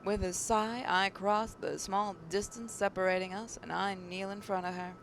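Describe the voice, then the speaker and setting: A woman reads story narration aloud in a dramatic style at a middling pitch, sometimes tripping over her words. There is a noticeable low rumble of noise behind her. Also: level tone, moderate pace. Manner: stumbles over words while reading. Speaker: gaming channel narrator reading a visual novel aloud.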